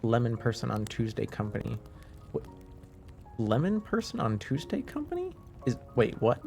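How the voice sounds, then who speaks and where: A man whose first language is French, chatting casually to livestream viewers in a hesitant, halting way, sounding slightly under the weather. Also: mid-range voice, huge pitch swings, vocal fry, darkish tone. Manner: hesitant, halting, often trailing off. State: slightly under the weather, deeper than usual, clearing throat. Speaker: live streamer, host. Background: faint music.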